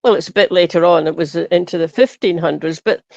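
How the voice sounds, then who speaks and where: A woman's voice: on the low side, a little fast, vocal fry, no room sound. A woman, co-hosting a podcast, thinking aloud as she speaks. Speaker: podcast host. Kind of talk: casual podcast chat. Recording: dry, dull lo-fi sound.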